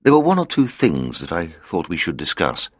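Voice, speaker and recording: A man voices a nervous company director in his fifties for a storybook recording. The voice is extremely deep and husky, with a lively, rising and falling delivery. The sound is dry and close. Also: normal speed, no echo, heavily acted. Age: 50s. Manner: nervous, hesitant, apologetic. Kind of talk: audiobook character reading.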